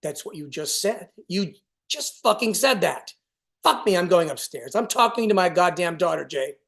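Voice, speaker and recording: A man's voice: high voice, expressive, normal speed, creaky, fairly bright tone, full character voice. An actor playing an irritable, defensive father in a staged reading of a play, agitated and argumentative. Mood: defensive anger. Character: irritable, foul-mouthed father, wounded and defensive.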